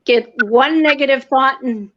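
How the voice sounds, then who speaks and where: A woman with a fairly high voice talks slowly and reflectively in casual conversation. She sounds appreciative, and the video-call audio is dull and lo-fi. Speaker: guest receiving a reading. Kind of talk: casual chat.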